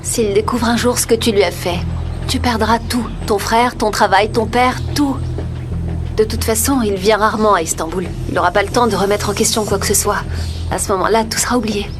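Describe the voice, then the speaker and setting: A woman's voice: medium pitch, a little fast, lightly acted. Scheming, persuasive woman played by an actress. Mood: urgent warning. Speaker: dubbing actor. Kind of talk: French-dubbed TV soap acting. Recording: music under the dialogue.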